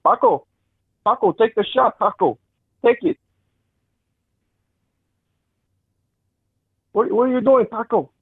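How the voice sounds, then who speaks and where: A man's voice: sits high, breathy edge, dry recording. A man playing an earnest, hesitant but urgent henchman reporting to his boss in an improvised comic skit over a phone line. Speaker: caller.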